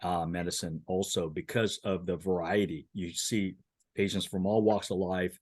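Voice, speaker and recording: Lower-voiced man in his fifties, dry sound. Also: little pitch movement, moderate pace, a little mellow, dry recording. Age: 50s. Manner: self-deprecating, with repeated words. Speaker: family physician, clinical professor. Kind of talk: casual panel talk.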